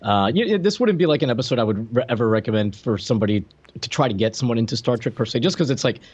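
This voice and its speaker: A man's voice: medium pitch, lively intonation, rapid-fire, darkish tone, slightly rough. A man co-hosting a podcast, chatting casually as an enthusiastic fan. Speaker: podcast co-host.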